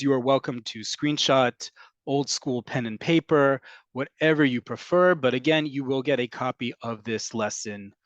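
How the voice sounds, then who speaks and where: A man's voice: mid-range voice, no echo, muffled recording. A man from North America teaching an online class over a video call, explaining at length in a conversational way with frequent ums. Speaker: Hebrew teacher.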